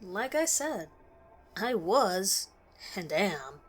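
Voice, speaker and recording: A woman reading a scripted first-person horror story in a measured storytelling way with expressive emphasis. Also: mid-range voice, breathy edge, faint music behind.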